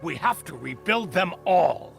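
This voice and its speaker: An actor playing a bitter, hard-nosed senior craftsman in a video game, speaking in a scornful, deliberate, theatrical way. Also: on the high side, big pitch swings, creaky, music in the background, exaggerated voice. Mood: heated, accusing. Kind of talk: dramatic video game voice acting.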